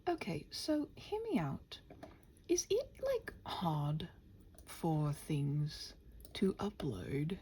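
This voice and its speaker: A woman's voice: low-pitched, huge pitch swings, drawn-out words, warm tone, a little hiss or hum. A woman in a casual, unscripted ramble, chatting playfully to listeners and muttering to herself in a scattered way as she works. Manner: playful, chatty, talks to her computer. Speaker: ASMR audio creator rambling to listeners.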